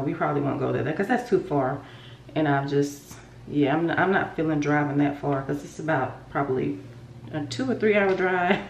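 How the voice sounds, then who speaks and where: A woman in her thirties with a stuffy, congested nose chats loosely to her viewers, rambling from one thought to the next. Her voice sits low for a woman. It rings in a roomy bathroom, a bit away from the camera mic. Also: creaky, far from the mic, a little hiss or hum. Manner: chatty, rambling, talks straight to viewers. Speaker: vlogger. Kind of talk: casual chat to camera. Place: home bathroom.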